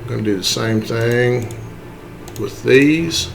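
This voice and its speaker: A man giving a casual, step-by-step software walkthrough, informally checking in with okay and right as he goes. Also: medium pitch, slow pace, gravelly, a little hiss or hum. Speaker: tutorial presenter. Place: indoors, voice-over for a screen recording.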